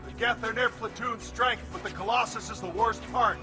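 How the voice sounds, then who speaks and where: A man's voice: high-pitched, steady tone, normal speed. A man playing a defiant alien marine squad leader in a video game, with clipped military talk through a helmet filter. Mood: defiant, grim. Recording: game audio with music behind. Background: music.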